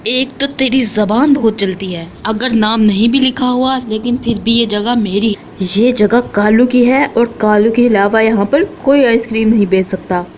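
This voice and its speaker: A gruff, boastful male crow from a cartoon, voiced by a woman. He talks in a fairly high voice with a faint hiss in the background. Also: normal speed, few pauses, lightly acted. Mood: menacing. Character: hot-tempered male crow ice-cream seller, bullying then remorseful. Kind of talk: cartoon voice acting.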